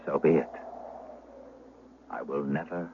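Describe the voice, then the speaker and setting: An actor playing an ardent, brooding gentleman soldier of fortune with a formal, melodramatic manner in 1970s radio drama. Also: very deep voice, fairly even intonation, slow, heavily acted. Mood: bitter. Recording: muffled vintage radio recording, faint hiss.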